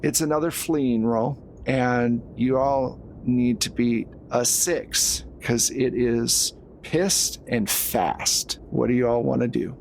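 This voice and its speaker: A man's voice: mid-range voice, slow pace, creaky, a little mellow, slight background noise. A man narrating a horror tabletop game as game master, in a casual, conversational storytelling way.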